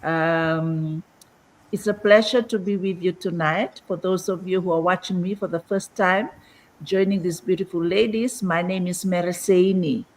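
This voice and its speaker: A woman's voice: lowish voice, steady tone, moderate pace, poor audio. An older woman from Fiji introducing herself as a guest on a live video call, with frequent hesitations. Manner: hesitant, with fillers.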